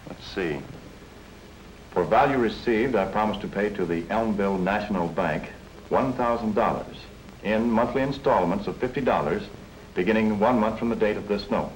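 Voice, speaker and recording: An actor playing an earnest, polite man starting his own store in a 1940s educational film, speaking deliberately. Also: lowish voice, lightly acted. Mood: careful, agreeable. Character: earnest, polite male aspiring hardware store owner. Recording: noisy 1940s film soundtrack.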